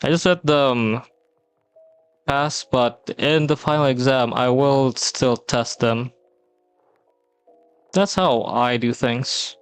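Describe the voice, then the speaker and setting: A young man from the Philippines chatting casually on a drawing stream, relaxed and rambling with many pauses and fillers. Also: mid-range voice, clean tone. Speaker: streamer and teacher. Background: soft background music.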